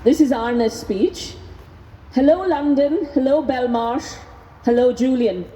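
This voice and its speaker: A woman reading a speech aloud at an outdoor vigil, speaking into a microphone in a measured, deliberate way. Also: high-pitched, warm tone. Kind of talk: public speech read aloud at a rally. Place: outdoors at a vigil by prison gates. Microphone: handheld microphone. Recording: noisy outdoor PA with long echo.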